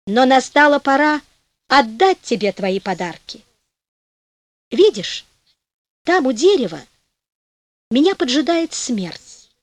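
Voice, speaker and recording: A woman voicing a kind, wise old wanderer who speaks gently and calmly. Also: high voice, slow pace, pauses often, dry recording, full character voice. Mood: earnest.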